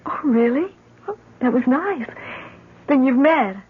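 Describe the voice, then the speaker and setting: Breathy, high-voiced fragile young woman. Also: lively intonation, unhurried, full character voice. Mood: dazed, pleased. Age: young adult. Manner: hesitant, faltering. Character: fragile, confused young daughter, sweet and hesitant. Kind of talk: 1970s radio drama acting. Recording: tinny, narrow-band 1970s radio recording.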